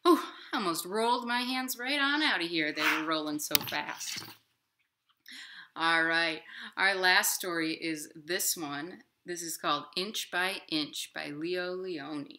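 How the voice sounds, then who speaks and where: Bright-voiced woman hosting children's storytime. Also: on the low side, takes it slowly. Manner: clear, simple phrasing addressed to small children. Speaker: children's library storytime host. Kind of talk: storytime for young children, talking and reading aloud. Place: living room at home, indoors.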